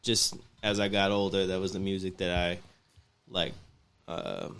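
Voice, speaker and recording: A man's voice: low voice, flat delivery, measured pace, a bit bright, clean tone. A man in his twenties, a rapper answering interview questions in an easygoing way, full of fillers. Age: 20s. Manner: easygoing, filler-heavy, chuckling. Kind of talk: casual interview talk.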